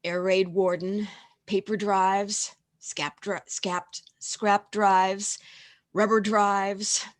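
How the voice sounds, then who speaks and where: Woman as knowing narrator, medium-pitched. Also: level tone, extremely slow. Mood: solemn storytelling. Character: knowing heavenly senior angel narrating to Clarence. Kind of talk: scripted radio play narration. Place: home, over video call.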